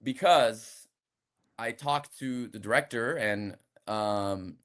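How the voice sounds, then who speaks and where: A man's voice: mid-range voice, slow. A man from France with a light French accent, an actor talking casually in an interview with frequent restarts. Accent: French, softened by years abroad. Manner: relaxed, hesitant, many restarts. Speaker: actor guest.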